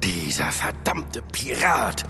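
An actor playing a gruff older male treasure hunter, wry and grumbling, with a gravelly voice, in a dubbed action video game. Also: on the low side, sing-song, slow, pushed and bright, full character voice. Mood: exasperated. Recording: music behind the voice.

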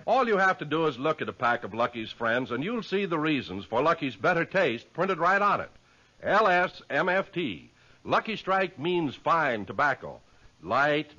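Casting: American man, old-time radio pitchman, highish voice with animated swing. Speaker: radio announcer. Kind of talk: 1950s radio commercial announcing. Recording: dull vintage radio recording.

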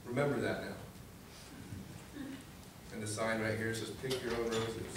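A man's voice: on the low side, fairly even intonation, slow pace. A young man reading a children's book aloud to an audience in a meeting room, picked up from a distance. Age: young adult. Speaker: guest reader, student government vice president. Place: medium indoor meeting room at a library. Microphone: distant camera facing a podium. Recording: echoey room from far off, noisy.

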